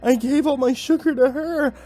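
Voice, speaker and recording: A narrator puts on a pathetic, frightened man in a horror story. He stammers and whines his pleas in a voice that climbs very high, with music behind. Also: fairly even intonation, normal speed, dark tone. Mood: terrified, begging. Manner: stammering, whining, begging. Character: terrified, pathetic young man pleading with a mob.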